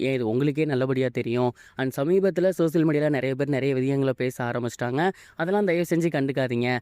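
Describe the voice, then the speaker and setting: A man narrates entertainment news at a rapid clip. His voice is mellow and a little high, captured dry and close without room sound. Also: no echo. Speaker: online entertainment news presenter. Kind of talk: scripted celebrity news narration.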